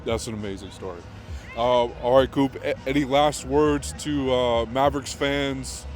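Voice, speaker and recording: A man interviewing a sports guest in a casual, halting way, repeating words as he forms questions. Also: medium pitch, darkish tone, soft background music.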